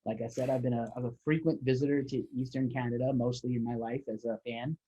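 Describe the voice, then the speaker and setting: A man's voice: medium pitch, steady tone, fast talker, dark tone, muffled recording, a little hiss or hum. A male interviewer on a video call, chatting casually and rambling as an enthusiastic fan.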